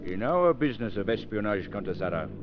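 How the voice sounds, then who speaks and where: Low-voiced cold-blooded spymaster. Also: male voice, big pitch swings, fast. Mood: smooth, assured. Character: commanding, cold-blooded male foreign spymaster aboard a ship. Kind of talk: 1930s radio drama acting. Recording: dull 1930s radio broadcast, faint music.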